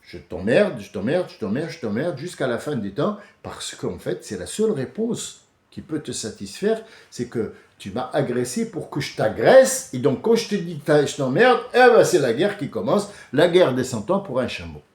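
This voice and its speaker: A French man hosting a casual monologue, animated and often restarting, rising to heated outbursts. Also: mid-range voice, normal speed. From France. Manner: many restarts and hesitations. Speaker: host. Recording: echoey room.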